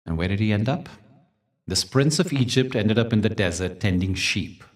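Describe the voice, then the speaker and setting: A man hosting a guided meditation, speaking and telling stories in a gentle, deliberate, soothing way with dramatic pauses. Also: fairly low pitch, animated, distant mic. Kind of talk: guided meditation talk with storytelling.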